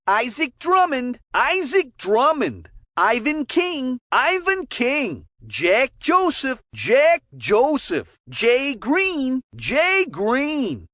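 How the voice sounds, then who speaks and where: High-pitched boy hockey announcer. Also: fairly bright tone, no room sound, studio quality, exaggerated voice. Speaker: sports announcer. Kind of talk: isolated game announcer name calls.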